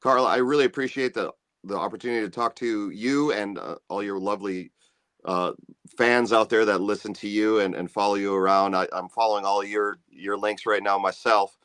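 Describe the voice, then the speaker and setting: A man with a low bass voice, a musician guest chatting in an easygoing, rambling way about his music. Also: fairly even intonation, fairly quick, fairly bright tone, clean tone, no room sound. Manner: easygoing, rambling, self-promoting. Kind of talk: casual interview chat.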